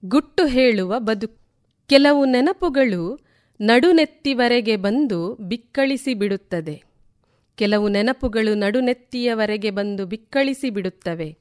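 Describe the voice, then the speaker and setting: A woman reciting poetry in a deliberate way, repeating lines for emphasis. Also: a little high, animated, faint music behind. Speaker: poet reciting on a radio programme.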